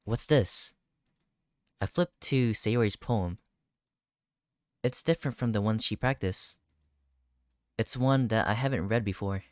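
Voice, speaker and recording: Gaming streamer, mid-pitched voice, visual novel read-aloud, startled asides, dry close recording. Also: a little slow, pauses often, no echo. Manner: reads dialogue aloud, breaking into startled reactions. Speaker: video game streamer.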